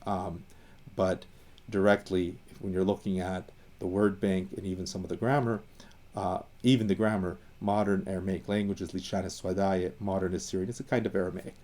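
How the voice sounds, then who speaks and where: A man's voice: low-pitched, little pitch movement, normal speed, warm tone. A man from Iran explaining language history in casual talk, thinking aloud with fillers and restarts and lingering over the words he defines. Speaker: educator on Assyrian language and identity.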